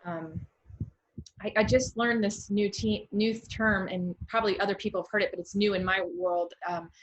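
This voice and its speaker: A woman's voice: medium pitch, fairly even intonation, normal speed, low-quality recording. An American woman hosting a casual interview in a chatty, informal way. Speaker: interview host.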